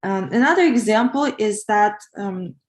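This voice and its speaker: A woman's voice: mid-range voice, expressive, echoey room. A woman from Ukraine, a pharmacist preparing for an English exam, speaking carefully with hesitant fillers. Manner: careful, measured, with hesitant fillers while answering. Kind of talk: practice exam answer and casual chat on a livestream.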